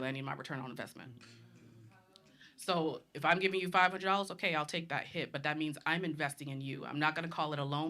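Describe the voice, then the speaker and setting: A woman's voice: low-pitched, fairly bright tone. A woman in her thirties from the Bahamas, a panelist speaking bluntly and confidently in a live discussion.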